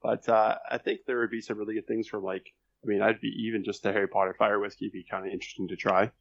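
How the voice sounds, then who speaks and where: Lowish guy with vocal fry chatting on a podcast, dull audio. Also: flat delivery, fast talker. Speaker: podcast guest, fan fiction author. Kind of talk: casual, hesitant chat.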